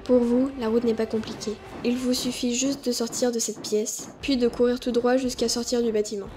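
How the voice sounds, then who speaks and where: Woman as a cool, even-toned commanding female sniper. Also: a little high, moderate pace, background noise, natural voice. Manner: curt, composed, formal. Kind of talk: scripted amateur audio drama.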